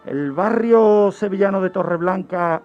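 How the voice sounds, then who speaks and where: A man's voice: high voice, measured pace, dull audio, faint music behind. A man explaining a true-crime history on radio in a didactic way, posing questions and answering them. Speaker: guest criminologist presenting a true-crime segment.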